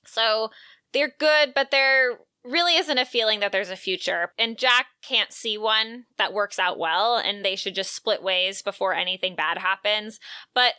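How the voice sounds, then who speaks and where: A woman's voice: mid-range voice, a little slow, bright, forceful tone, smooth, no echo. A woman co-hosting a book podcast, recapping and giving show notes in a conversational style. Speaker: podcast co-host.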